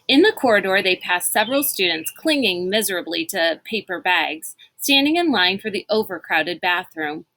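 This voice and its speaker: A woman reading a storybook aloud in an expressive, dramatic narrating style. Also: medium pitch, normal speed, few pauses, poor audio. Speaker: reader of a read-aloud book.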